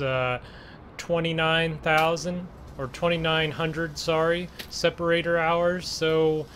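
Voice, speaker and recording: Guy doing casual game commentary, takes his time, slightly higher voice, some engine noise behind. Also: a bit bright. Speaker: gaming video host. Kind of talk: casual role-play gameplay commentary.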